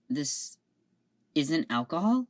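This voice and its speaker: A woman's voice: low-pitched, heavily acted. A woman playing a nervous young female security officer in an amateur audio drama, blunt and anxious.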